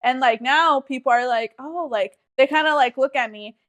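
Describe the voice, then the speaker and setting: A woman's voice: sits high, normal speed, a bit bright. A young woman from Texas co-hosting a podcast, chatting in a laid-back way with lots of fillers. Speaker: podcast co-host. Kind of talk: casual chat.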